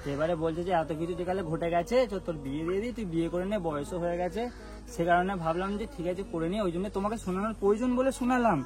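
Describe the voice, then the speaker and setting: A man's voice: high voice, racing. A man talks casually but seriously, pressing his points with repeated rhetorical questions. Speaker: prank vlogger. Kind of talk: staged serious phone conversation, casual. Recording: loud background music. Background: music.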